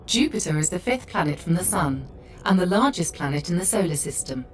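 A woman voicing a calm spaceship computer tour guide, giving measured, factual educational narration. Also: lowish voice, natural voice. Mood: calm, informative. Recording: slightly distant voice under music. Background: music.

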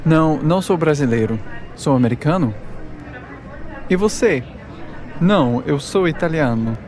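A man reading lesson dialogue in an animated, expressive way. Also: fairly high pitch, measured pace, a faint crowd.

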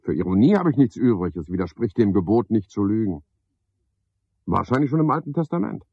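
An actor playing a pedantic, misanthropic night porter in a radio drama, a man with a husky, gravelly voice and a pompous, sardonic manner. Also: lowish voice, big pitch swings, a little fast, warm tone, dry recording, exaggerated voice. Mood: brisk, irritated. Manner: pompous, sardonic, ornate wording. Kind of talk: 1970s German radio drama monologue. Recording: dull, dry 1970s radio recording.

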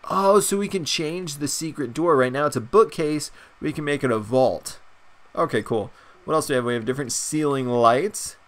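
A young man with a stuffed-up nose, chatting excitedly and rambling through a video game's shop menus as a gaming channel host. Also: on the high side, moderate pace. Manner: excited, rambling, many fillers. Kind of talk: casual gameplay commentary.

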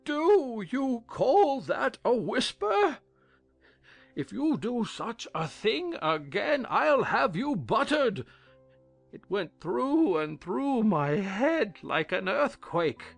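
A man playing a pompous, fussy old king in a dramatized audiobook, with a theatrical, drawn-out delivery. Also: very high voice, very animated, creaky, dry recording, soft background music, full character voice. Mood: indignant.

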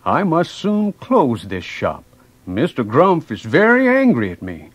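Man playing a husky, kindly old woodcarver. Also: on the high side, huge pitch swings, a little slow, no room sound, full character voice. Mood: sad, resigned. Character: kindly old male woodcarver, gentle, wise. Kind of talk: children's story drama with songs.

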